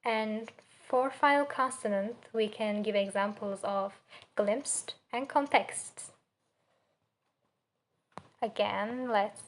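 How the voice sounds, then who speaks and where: A young woman with a Turkish accent giving a spoken student presentation, haltingly searching for words and pronouncing example words carefully. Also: medium pitch. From Turkey. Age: young adult. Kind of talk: spoken assignment presentation on pronunciation.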